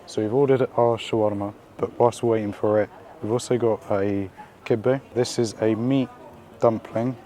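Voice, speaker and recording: A man hosting a casual food vlog while out in the city, his voice croaky from being ill, chatty and upbeat with lots of slang and fillers. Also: lowish voice, fairly even intonation, moderate pace, warm tone. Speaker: food vlogger. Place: out and about in city streets and a small eatery.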